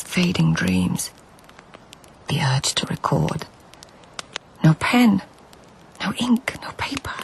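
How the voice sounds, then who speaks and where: A woman's voice: low voice, full character voice, low-quality recording. A woman playing a troubled, reflective court lady, narrating her private thoughts in fragmentary phrases for a radio drama. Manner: breathy whisper, fragmentary phrases. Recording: clear background noise.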